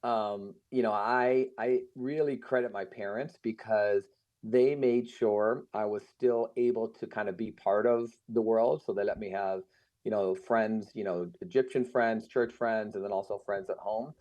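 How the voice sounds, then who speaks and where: Middle-aged man, lowish voice, dull lo-fi sound, unhurried and thoughtful. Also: fairly even intonation, a little mellow. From United States. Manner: thoughtful, many fillers. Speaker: guest. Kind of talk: casual conversation. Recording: muffled lo-fi sound.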